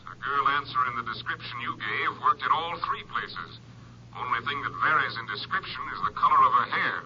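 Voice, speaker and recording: An actor playing a businesslike police captain in a 1950s radio detective drama, speaking in a measured, official way. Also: male voice, medium pitch, lively intonation, sharp tone. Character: businesslike male police captain reporting from headquarters. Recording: muffled, slightly noisy vintage radio recording.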